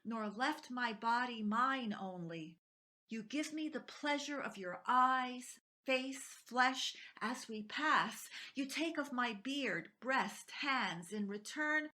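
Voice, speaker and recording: A woman reciting poetry expressively, phrase by phrase in a measured way. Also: on the high side, sharp tone. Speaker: poetry reader.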